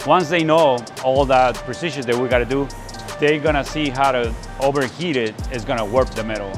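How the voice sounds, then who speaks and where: Man, mid pitch, instructor, plain step-by-step explanation. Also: a little fast, music in the background. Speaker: welding instructor.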